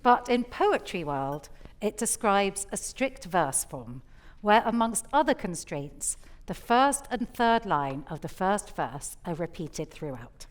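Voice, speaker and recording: A woman's voice: mid-range voice, big pitch swings, takes it slowly. A woman host giving articulate, explanatory scripted introductions to poems on a theatre stage.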